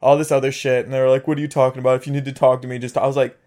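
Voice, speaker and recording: A man's voice: mid-range voice, level tone, rapid-fire, crowd noise. A young man co-hosting a casual podcast, telling jokey stories and acting out quotes. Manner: jokey, acts out quotes, crude humor. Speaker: podcast co-host. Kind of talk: casual chat. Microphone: podcast mic with audio levels.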